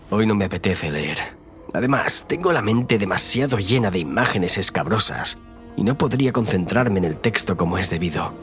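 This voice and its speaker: A man with a fairly low voice tells a scripted horror story in the first person. His delivery is theatrical and suspenseful. Loud music plays under the narration.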